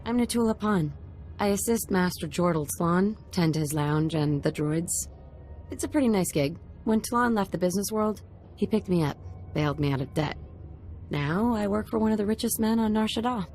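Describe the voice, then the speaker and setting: A woman's voice: medium pitch, lively intonation, moderate pace, darkish tone, soft background music, natural voice. An actress playing a wry, guarded woman who works as a casino lounge assistant in a video game, talking casually. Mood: wary, wry.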